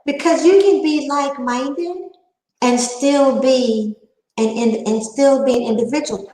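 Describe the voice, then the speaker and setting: A woman's voice: high-pitched, measured pace, dark tone, reverberant, low-quality recording. A woman nearing fifty giving a candid opinion monologue, emphatic and repeating her phrases for effect. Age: late 40s. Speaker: online panel talker.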